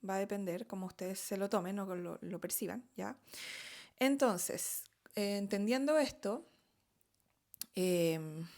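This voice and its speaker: A woman's voice: mid-range voice, darkish tone. A middle-aged woman from Chile with a Chilean Spanish accent, telling her own story in a candid, chatty monologue, often checking in with "¿ok?".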